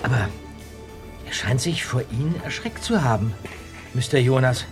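A man's voice: medium pitch, animated, normal speed, music in the background, lightly acted. An actor playing a curious, level-headed teenage boy detective in a German audio drama. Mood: curious, puzzled.

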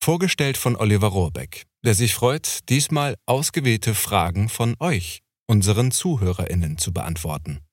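A man's voice: lowish voice, animated, normal speed, few pauses, dry recording, studio quality. A man reading a scripted show introduction as an announcer, in a polished presentational manner.

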